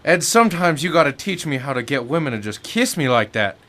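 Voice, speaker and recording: A man playing an excitable, blunt police officer, the detective's pal, in an old-time radio drama. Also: on the high side, a little fast, heavy background noise. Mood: wry.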